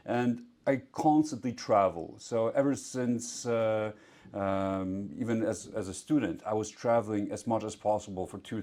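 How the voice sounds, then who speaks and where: A man's voice: lowish voice, a little mellow. A young man from Poland who grew up in Singapore, a doctor explaining earnestly in an interview, with some fillers. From Poland, raised in Singapore. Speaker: physician guest. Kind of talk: interview answers. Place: clinic in Warsaw.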